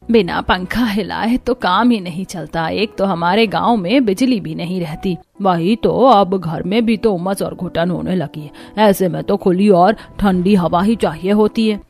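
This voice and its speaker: A woman's voice: lowish voice, a little slow, hardly any pauses, a little mellow, faint music behind. A woman playing a weary, complaining village housewife in cartoon dialogue. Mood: grumbling.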